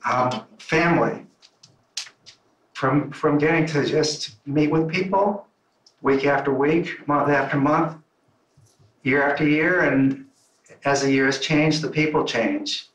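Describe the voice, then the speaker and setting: A man's voice: on the high side, takes it slowly, long pauses, fairly bright tone. A man speaking to a club meeting with enthusiasm, repeating his phrases for emphasis. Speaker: club member. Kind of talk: informal speech to a club meeting. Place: meeting room. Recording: roomy sound from a distant mic.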